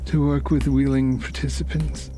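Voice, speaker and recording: A man voicing a wealthy, menacing old cult leader in a horror story, formal and silkily courteous, with deliberate pauses. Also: medium pitch, level tone, dark tone, faint music behind, pitched above the performer's own voice, close to an everyday voice. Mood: coaxing, menacing. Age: older. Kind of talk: horror audio story character.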